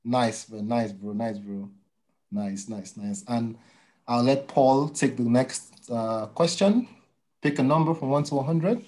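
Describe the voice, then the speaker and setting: A man's voice: mid-range voice, a little slow, darkish tone. Male interview host, mid-range voice, casual and conversational.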